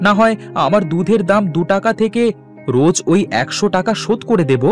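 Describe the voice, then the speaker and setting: Guy doing cartoon poor milk farmer, stubborn haggler, highish n super lively. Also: brisk, faint music behind. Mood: indignant. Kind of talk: Bengali moral cartoon voice acting.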